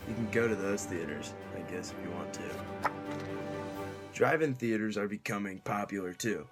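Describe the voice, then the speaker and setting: A man giving an informal mock news report as a correspondent, with loose, colloquial phrasing. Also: fairly low pitch.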